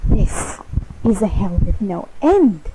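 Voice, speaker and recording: Woman voicing a slow, sadistic teenage-sounding machine god. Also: mid-range voice, very animated, background noise. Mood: cold, vindictive. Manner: taunting, mock-sweet, drawn-out deliberate delivery. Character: sadistic godlike supercomputer with a teenage god complex, hateful. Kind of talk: dramatic monologue, fan voice acting of game lines.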